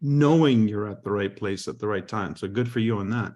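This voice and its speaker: A man's voice: lowish voice, brisk, a little mellow. A man hosting a team call over a remote line, explaining ranks and encouraging new members with frequent restarts. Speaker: host of a sales team call.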